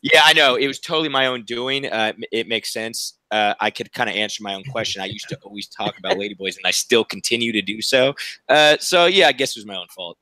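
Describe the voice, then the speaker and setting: A man's voice: on the low side, fast, bright, forceful tone, no room sound, poor audio. A man hosting a casual group video call, joking and crude, laughing often. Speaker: show host.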